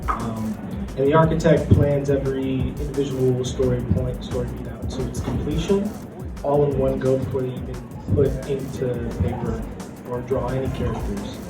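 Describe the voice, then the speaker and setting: Man, convention panelist, informal explanatory delivery with pauses, distant mic in a reverberant space. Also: medium pitch, steady tone. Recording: echoey room from far off, music behind.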